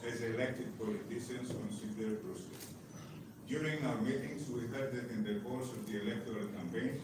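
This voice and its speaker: A Greek-accented man delivers a prepared statement in a steady, measured reading voice. He speaks from some distance from the mic in a very echoey hall. Clear background noise fills the room. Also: medium pitch. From Greece. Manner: measured, reads carefully with occasional restarts. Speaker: head of parliamentary delegation. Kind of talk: formal prepared press statement.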